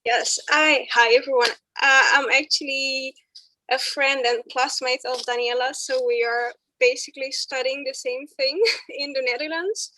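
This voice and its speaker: A woman's voice: high voice, fairly even intonation, unhurried, pushed and bright, a little hiss or hum, rough recording. A young woman from Romania, a student introducing herself in an online workshop, chatty and searching for words. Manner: chatty and searching for words, with frequent yeah fillers.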